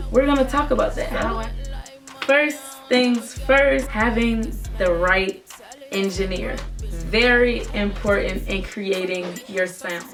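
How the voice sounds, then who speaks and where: A young woman rapper with a naturally raspy voice, giving casual, earnest advice to her viewers. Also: mid-range voice, fairly bright tone. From Virginia, United States. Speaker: rapper and vlogger. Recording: voice from a distance with faint music.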